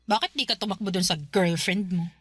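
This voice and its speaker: An actress playing a stern but caring older sister in a radio drama, blunt and scolding with sharp retorts. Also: female voice, medium pitch, fairly quick, slightly rough, dry recording, studio quality, lightly acted. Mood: questioning. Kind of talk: Filipino radio drama acting.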